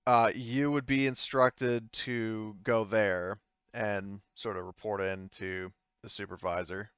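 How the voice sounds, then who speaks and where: A man working as game master, narrating a tabletop game casually and haltingly as he thinks aloud. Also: lowish voice, level tone, a bit bright, clear, resonant tone, no echo, dull audio.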